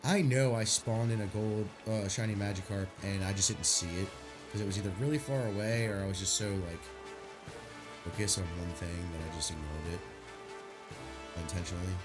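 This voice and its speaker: A man's voice: low-pitched, fairly even intonation, a little fast, music in the background. A man streaming a game, chatting casually and rambling, stuffy from a sinus headache. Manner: rambling, laid-back, swears casually.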